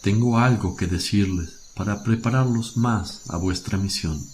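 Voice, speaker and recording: An actor playing a calm, solemn spiritual master with gentle authority in a religious radio drama. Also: male voice, on the low side, unhurried, lightly acted. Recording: faint noise, birds and crickets behind.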